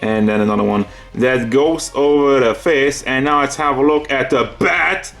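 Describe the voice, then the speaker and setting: A man's voice: medium pitch, normal speed, creaky, fairly bright tone. A man giving a casual, unscripted figure review in a rambling, conversational and slightly nitpicky way. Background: background music.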